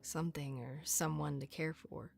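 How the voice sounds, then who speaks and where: A woman playing a weary, bitter but protective mother in a horror visual novel, brooding and halting. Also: sits low, fairly even intonation, creaky, faint music behind. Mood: bleak, tender.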